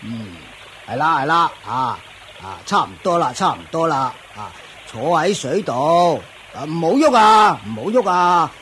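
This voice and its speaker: Strict, self-important ascetic master, radio drama; high, animated delivery, lecturing. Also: male voice, slight background noise. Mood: stern lecturing. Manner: lecturing, punctuated with drawn-out fillers and grunts. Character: pompous, strict Taoist-style master of a forest of ascetics. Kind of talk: Cantonese Buddhist radio drama acting.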